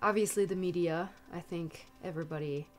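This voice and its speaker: A woman's voice: lowish voice, a little fast, darkish tone. A young woman from Germany, a fashion blogger, chatting enthusiastically about her clothes in an interview, with lots of "like" and "you know". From Germany (Munich). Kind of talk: casual interview, showing her wardrobe. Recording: echoey room with music behind.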